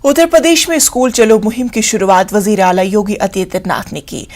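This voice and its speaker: A woman news anchor reading scripted bulletins in a formal, measured broadcast style. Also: mid-range voice, a little mellow.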